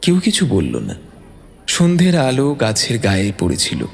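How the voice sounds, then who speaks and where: Expressive male storyteller in long reverb. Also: mid-range voice, normal speed, creaky. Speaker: storyteller, narrator. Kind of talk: dramatic scripted story narration. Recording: long reverb, music bed underneath.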